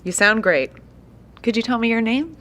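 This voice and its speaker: Curious polite lady interviewer in audio drama, really lilting melody, soft-pedals her questions. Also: on the high side, lively intonation, normal speed. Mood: polite, businesslike. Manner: courteous, gently prompting, apologetic. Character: polite, curious young woman documentarian interviewing residents.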